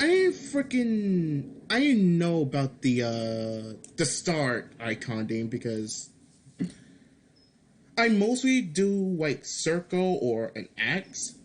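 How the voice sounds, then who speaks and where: Male indie game developer, slow and halting, mid-pitched, casual screen commentary with mild swearing. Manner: halting, repeats and restarts phrases. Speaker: game developer making a devlog. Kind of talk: casual screen-recorded commentary, reading and answering feedback.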